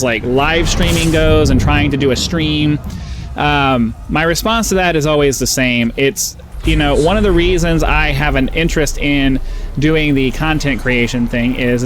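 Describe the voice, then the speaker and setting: Easily distracted male gaming host, nasal, mid-pitched, chatty and unscripted; light game ambience behind. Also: background noise. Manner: rambling, easily distracted, frequent fillers. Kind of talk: rambling gameplay commentary.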